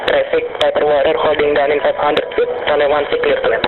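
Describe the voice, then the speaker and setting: A man's voice: on the high side, monotone, a little fast. A man working as an air traffic controller, giving clipped standard instructions over radio. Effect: radio transmission. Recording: noisy, narrow-band aviation radio.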